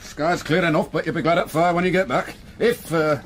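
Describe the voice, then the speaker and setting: An actor playing an inn landlord with a Northern English accent, homely, deferential and a little hesitant, in a radio drama. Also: male voice, on the high side, fairly even intonation, brisk, a little hiss or hum, natural voice.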